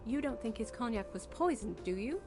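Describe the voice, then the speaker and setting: An actress playing a reserved, polite woman in a murder mystery visual novel, speaking quietly and with measure. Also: on the high side, lively intonation, a little fast, close to an everyday voice. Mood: guarded, subdued. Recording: quiet game dialogue under loud music.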